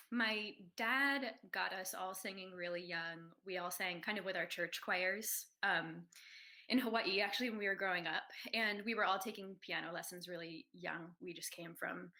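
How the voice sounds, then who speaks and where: A woman's voice: lowish voice, a little slow, pushed and bright. A young adult woman, a soprano and graduate student, talking about her singing and research in an earnest, thoughtful way with light hesitations. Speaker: soprano, choral singer. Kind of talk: conversational interview.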